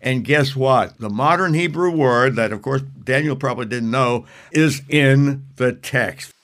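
A man's voice: mid-range voice, unhurried, a bit bright. A man hosting a radio interview, asking questions eagerly and with enthusiasm. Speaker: radio host.

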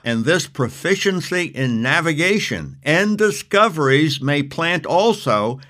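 A man's voice: fairly high pitch, lively intonation, measured pace, a bit bright. A man hosting a radio interview, who mostly answers with short affirmations and then reads from the guest's book. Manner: brief affirmations while listening. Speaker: radio talk show host.

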